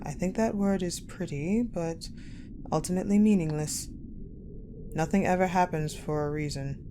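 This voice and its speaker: A woman playing a cold, guarded, possessive war general in a dramatic audio roleplay, speaking in a measured, haughty, pensive way with deliberate pauses. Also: lowish voice, close to an everyday voice. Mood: guarded, wistful. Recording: clearly noisy, ambient sound bed.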